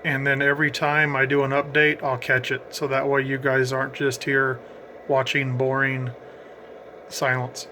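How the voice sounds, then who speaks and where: A man's voice: medium pitch, monotone, normal speed, background noise. A man giving casual, explanatory commentary as he narrates a radio range test.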